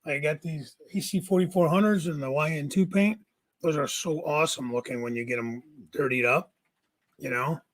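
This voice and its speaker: Raspy man greeting viewers. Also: a little high. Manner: greets viewers by name, chatty and jokey. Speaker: livestream host. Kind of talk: casual livestream chat. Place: home.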